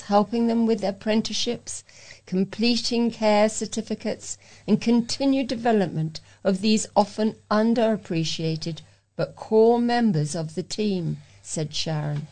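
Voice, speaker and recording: A woman's voice: medium pitch, measured pace, darkish tone. A woman reading local news aloud as a volunteer reader, carefully, with occasional stumbles over words. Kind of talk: read-aloud local news for a talking newspaper.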